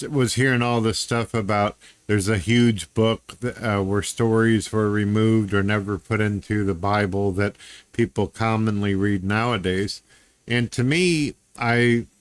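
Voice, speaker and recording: A man from the United States with a fairly low voice talks casually in a laid-back way, slipping in dry, sarcastic jokes. The recording is close and dry. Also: clean tone, no room sound. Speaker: podcast host. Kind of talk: casual conversation.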